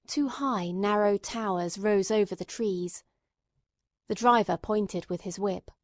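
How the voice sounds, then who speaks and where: A woman's voice: medium pitch, unhurried, no echo. A woman reading scripted literary narration as an audiobook narrator.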